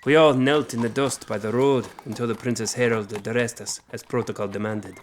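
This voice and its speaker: An actor playing a weathered knight who recounts his childhood in formal, measured phrases, in audio drama. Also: medium pitch, steady tone, a little hiss or hum, close to an everyday voice. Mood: reflective.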